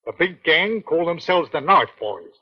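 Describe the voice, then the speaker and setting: Husky, higher-voiced British police subordinate. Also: male voice, very animated, moderate pace. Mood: businesslike. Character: dutiful male police subordinate, deferential and keen. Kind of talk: old-time radio crime drama acting. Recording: dull, lo-fi vintage radio recording.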